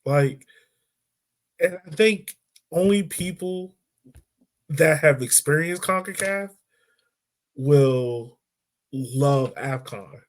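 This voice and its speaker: A man hosting a podcast in relaxed, chatty sports talk, often laughing and dropping casual fillers. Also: on the high side, drawn-out words, pauses often. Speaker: podcast host.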